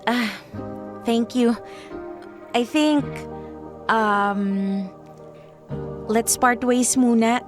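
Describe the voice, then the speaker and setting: Casting: shy, flustered young Filipina in radio romance, high-pitched and unhurried, hesitant delivery. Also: female voice, steady tone, music in the background. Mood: awkward, hesitant. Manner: hesitant, Taglish code-switching. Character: flustered young woman, Monet, shy and encouraging toward her admirer.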